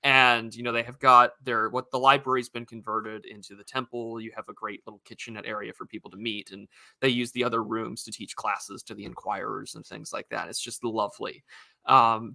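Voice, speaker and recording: Very bright-voiced male podcast host. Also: on the low side, vocal fry. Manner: thinks aloud with restarts and wry asides. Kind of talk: casual podcast conversation.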